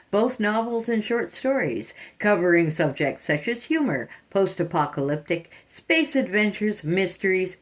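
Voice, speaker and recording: A woman hosting a horror fiction podcast with theatrical scripted delivery. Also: fairly low pitch.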